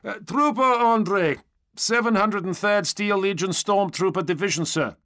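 A man's voice: high voice, measured pace, sharp tone, voice pushed up. A man playing a cheerful, chatty foreign soldier in an audiobook, polite and eager, with non-native phrasing. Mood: cheerful, hopeful. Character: cheerful, chatty, optimistic young male foreign stormtrooper.

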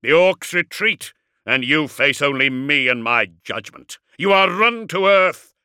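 A man voicing a proud, wrathful ancient warrior entombed in a war machine, booming and roaring slowly in an audiobook. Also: lively intonation, bright, forceful tone, dry recording, clean studio sound, heavily acted. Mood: commanding fury. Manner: slow, declamatory, indignant. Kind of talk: audiobook character acting.

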